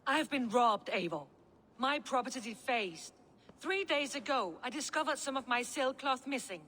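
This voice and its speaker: A woman's voice: high-pitched, animated, moderate pace, a bit bright. An actress playing an aggrieved village woman who indignantly complains of being robbed. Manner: indignant complaint, rising emphasis.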